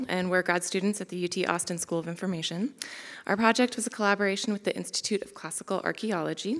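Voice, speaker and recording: A woman's voice: fairly low pitch, fairly bright tone. A young woman graduate student presenting a project at a conference from a prepared script. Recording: hall reverb.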